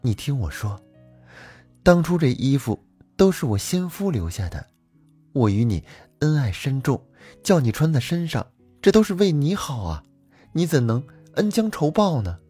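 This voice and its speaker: A man voicing a gentle, coaxing young snake-spirit wife in traditional storytelling, soft and sweetly persuasive. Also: a little high, expressive, unhurried, dry recording, soft background music, pitched above the performer's own voice.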